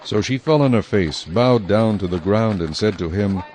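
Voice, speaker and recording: A man reading scripted Bible narration in a measured, solemn manner. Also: lowish voice, lively intonation, a little mellow, slight background noise.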